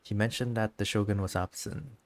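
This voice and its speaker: Solemn, creaky-voiced goddess ruler, read by a streamer. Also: mid-range voice, fairly even intonation, fairly quick, close to an everyday voice. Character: solemn, ancient female ruling god, grieving yet resolute. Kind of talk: reading video game dialogue aloud.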